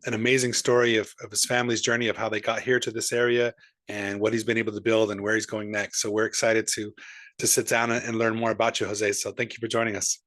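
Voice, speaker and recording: A friendly male host leads a relaxed interview, upbeat and chatty, often restarting his sentences. His voice has a middle pitch. The sound is dry and close, with no room around it. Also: dry recording. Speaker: podcast host. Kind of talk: casual interview chat.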